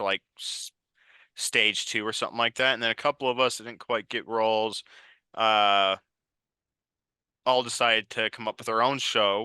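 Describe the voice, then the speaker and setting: A man's voice: fairly low pitch, pushed and bright, clear, resonant tone, no echo. A man in his twenties giving casual, informal, rambling answers as an interview guest. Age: 20s. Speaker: interview guest, writer and director.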